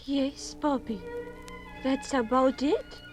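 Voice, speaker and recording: A woman's voice: sits high, slow pace, music in the background. A woman playing a tender young French sweetheart in a 1950s radio drama, speaking hesitantly and with emotion. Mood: sad resignation. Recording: 1950s radio production from vinyl record.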